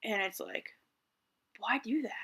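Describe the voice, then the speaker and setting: A man in his thirties with a higher voice, talking candidly in a reflective personal vlog and often restarting his sentences as he thinks aloud. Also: unhurried, sharp tone, husky. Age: 30s. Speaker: vlogger.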